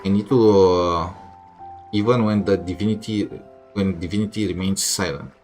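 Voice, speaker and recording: Low-voiced man streaming a game. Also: steady tone, darkish tone. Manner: halting, reacting in short bursts. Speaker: game livestreamer. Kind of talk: casual live gameplay commentary. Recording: game music behind the voice. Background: video game music.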